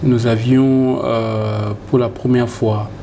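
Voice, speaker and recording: A man from the Congo with a Congolese French accent, a reform coordinator answering interview questions in a formal, halting way with many fillers. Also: medium pitch, level tone, vocal fry. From Democratic Republic of the Congo. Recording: noticeable background noise.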